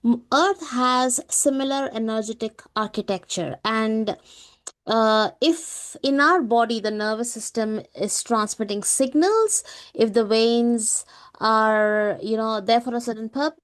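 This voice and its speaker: A woman's voice: on the high side, a little slow. A woman co-hosting a conversational spiritual talk, explaining things and often checking in with a questioning "right?". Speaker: podcast co-host.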